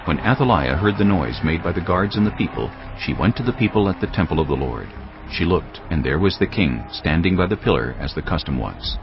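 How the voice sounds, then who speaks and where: A man with an extremely deep, gravelly voice reads scripture steadily and in measured tones, with a lively rise and fall in pitch. The sound is a bit dull, with faint music underneath. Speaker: narrator. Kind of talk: scripted Bible narration.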